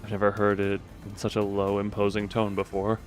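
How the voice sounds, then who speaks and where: A man's voice: lowish voice, monotone, fairly quick. Male game streamer over music. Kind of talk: live playthrough chat and dramatic reading of story text.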